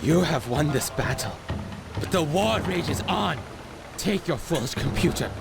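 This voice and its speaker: Game villain: arrogant warlord beast, slightly high and gravelly, pompous and menacing, music underneath. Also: male voice, lively intonation, unhurried. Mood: menacing fury. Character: arrogant, power-hungry animal warlord villain of a martial-arts dynasty. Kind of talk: video game villain acting. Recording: music behind the voice.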